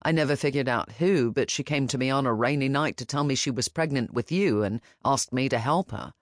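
A woman voicing a gentle, protective older man who speaks softly and calmly, with a firm edge. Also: medium pitch, a little fast, no room sound, clean studio sound, natural voice. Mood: gentle, fatherly. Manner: soft, calm and fatherly.

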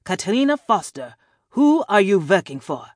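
A woman's voice: medium pitch, sing-song, a little slow, dry recording, studio quality, pitched above the performer's own voice. A woman voicing a smug, sarcastic male villain interrogator in an audiobook, mock-polite and menacing, with theatrical, taunting delivery. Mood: demanding.

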